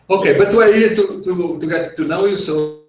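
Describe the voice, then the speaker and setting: Joking host, roomy sound. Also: male voice, sits high. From Portugal. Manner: chatty and joking, laughs between remarks. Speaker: interview host. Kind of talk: casual interview over a call. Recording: roomy, from a distance off the mic.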